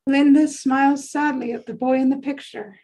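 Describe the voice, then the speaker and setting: A woman narrates stage directions for a script read-through. Her voice is on the high side, and she delivers short descriptive sentences as scripted narration. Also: steady tone, moderate pace, darkish tone, rough recording.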